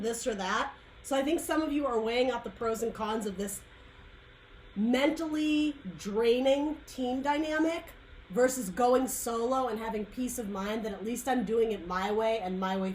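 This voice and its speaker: Tarot reader, woman, higher voice, dry worn throat, loose rambling delivery. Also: moderate pace, slight background noise, poor audio. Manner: rambling, searching for words, frequent "like" and "um". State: dry, losing her voice. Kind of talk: casual tarot reading monologue.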